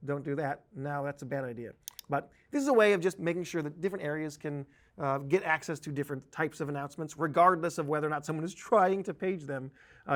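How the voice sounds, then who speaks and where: A man giving an informal, conversational software tutorial, explaining things step by step as an instructor. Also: a little high. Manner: explanatory, informal, uses spoken examples. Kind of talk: conversational screen-share tutorial.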